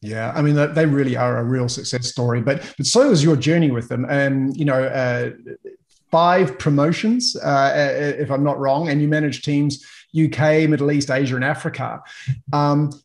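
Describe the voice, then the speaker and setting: A man's voice: fairly high pitch, a little mellow. A man with a New Zealand accent hosting a casual interview, upbeat and friendly. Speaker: interview host.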